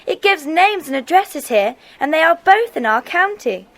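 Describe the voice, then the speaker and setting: A woman playing a tender-hearted, excitable schoolgirl in a children's audio drama, earnest and exclamatory. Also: very high voice, normal speed, heavily acted. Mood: excited discovery.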